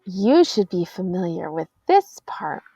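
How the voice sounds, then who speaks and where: A choir teacher, patiently explaining sheet music to middle school singers and counting beats aloud. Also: female voice, lowish voice, sing-song, a little slow, vocal fry, warm tone, slightly rough, dry recording. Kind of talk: classroom music lesson. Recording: dull, dry lo-fi audio.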